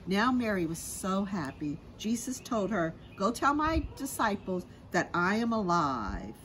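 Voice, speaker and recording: A middle-aged woman, a preschool teacher, reads a Bible story aloud to young children in a simple, expressive storytelling manner. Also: medium pitch, unhurried, slight background noise, rough recording. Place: outdoors in a sunny grassy backyard. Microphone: camera a short distance away.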